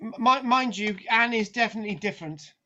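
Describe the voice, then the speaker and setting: A woman's voice: fairly high pitch, brisk, pushed and bright, muffled recording. A woman hosting a casual group chat. She teases the others and often restarts her sentences. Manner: stammering restarts, teasing, chatty.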